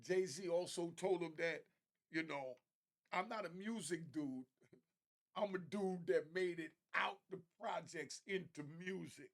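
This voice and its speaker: A man with a slightly high voice and noticeable vocal fry hosts a casual commentary show. He speaks in conversational street slang and gives opinionated takes. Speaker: host of an online commentary show.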